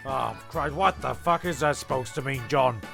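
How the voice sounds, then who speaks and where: A man hosts a comedy audio show in a heavily fried, sharp-edged voice. He narrates with mock seriousness, stumbling over words and getting exasperated, sometimes swearing. Music plays underneath him. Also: mid-range voice, normal speed, vocal fry. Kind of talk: mock-serious scripted narration with ad-libbed banter.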